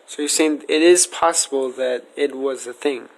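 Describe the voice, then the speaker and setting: A man's voice: mid-range voice, moderate pace, poor audio. A man asking questions in a casual, conversational interview style. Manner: informal, conversational.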